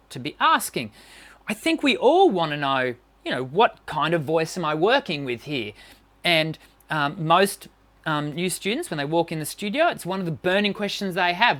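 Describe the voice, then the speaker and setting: A woman's voice: low voice, fairly bright tone. A woman voice teacher talking through a live-stream singing lesson, chatty, with many fillers and restarts. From Western country.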